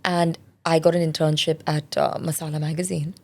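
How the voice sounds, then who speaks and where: A woman's voice: low-pitched, flat delivery, brisk, creaky, a little mellow. A woman in her forties, born in India, speaking as a parenting specialist in a candid, reflective interview. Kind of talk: conversational interview.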